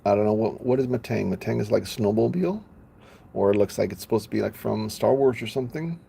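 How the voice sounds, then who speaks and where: A man's voice: on the low side, quick pace, vocal fry, dark tone, a little hiss or hum. A man chatting casually on a live stream, playful, laughing often and stumbling over names.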